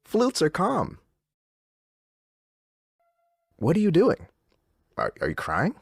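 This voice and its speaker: Erratic, insistently upbeat radio DJ. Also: male voice, a little high, wildly swooping pitch, unhurried, gravelly, breathy edge, dry recording, studio quality, full character voice. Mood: agitated, insistent. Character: unsettlingly upbeat, controlling male radio DJ. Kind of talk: theatrical radio DJ patter.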